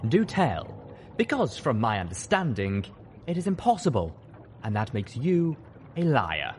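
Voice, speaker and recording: A man voicing a gruff, sardonic pegasus colonel in an audio drama, with an emphatic, challenging delivery. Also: medium pitch, big pitch swings, normal speed, long reverb tail, slight background noise, pitched above the performer's own voice, natural voice. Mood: mocking.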